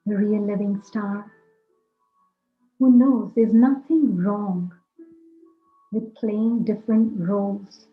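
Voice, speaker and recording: A woman teaches guided meditation at a very slow pace, in short, measured phrases. Her voice carries a roomy echo, and music plays behind her. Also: medium pitch, fairly even intonation, narrow, tinny audio.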